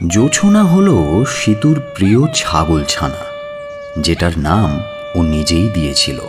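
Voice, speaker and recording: A man narrating an audio story in a measured, expressive and dramatic storytelling style. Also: sits low, music in the background. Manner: measured, expressive, drawn-out phrasing.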